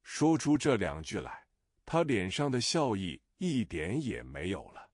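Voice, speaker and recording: A man's voice: on the low side, sing-song, measured pace, dry recording, clean studio sound. A man reading a novel aloud as narrator, expressively voicing the characters' lines. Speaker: audiobook narrator.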